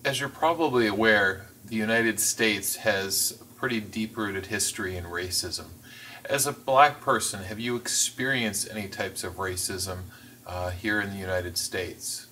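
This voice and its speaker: A man voicing a signed interview as interpreter, phrasing things in a measured, careful way with the occasional restart. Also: on the low side, bright, forceful tone, recorded from a distance, a little hiss or hum, poor audio. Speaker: sign language voice interpreter.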